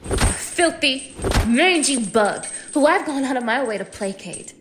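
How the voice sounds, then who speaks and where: A woman's voice: sits high, lively intonation, measured pace. Girl acting a cruel young woman captor, mocking and degrading, audio roleplay, noisy background. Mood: furious disgust. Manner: mocking, degrading, swinging from hushed menace to shouting. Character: cruel, contemptuous young woman holding her captive. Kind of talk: dramatic audio roleplay, spoken close to one listener.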